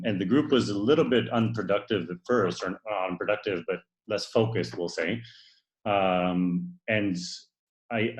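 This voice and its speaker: Man, co-host, low voice, hesitant and rambling, video call, echoey room. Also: highs cut off. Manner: rambling, hesitant, many fillers.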